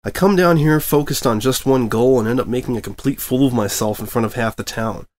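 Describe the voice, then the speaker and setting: A man's voice: medium pitch, brisk, a little mellow, natural voice. A man voicing a flustered young male pony newspaper reporter who rambles nervously.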